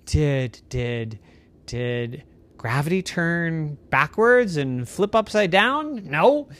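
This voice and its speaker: A parent telling a bedtime story, voicing Hope, a young girl who loves basketball, in a squeaky, startled voice. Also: animated, drawn-out words, fairly bright tone. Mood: bewildered.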